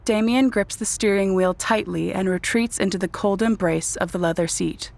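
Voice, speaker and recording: A woman narrating a dark vampire audio drama in a composed, scripted storytelling style. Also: medium pitch, normal speed, creaky, natural voice. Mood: composed, tense.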